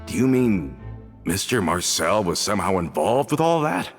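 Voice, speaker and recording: An actor playing a tough, loyal gang member back from prison, informal and emotionally expressive, in a video game. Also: male voice, deep voice, normal speed, soft background music. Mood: puzzled. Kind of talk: video game dialogue acting.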